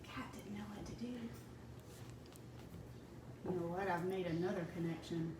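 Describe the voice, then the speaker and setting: Lady doing slow storytime read aloud for little kids, chats to them between lines, echoey room w background noise. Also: fairly low pitch, fairly even intonation. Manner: slow, deliberate phrasing for children. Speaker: teacher reading a picture book to children. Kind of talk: read-aloud storytelling with asides to young listeners. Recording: roomy, distant mic with clear background noise.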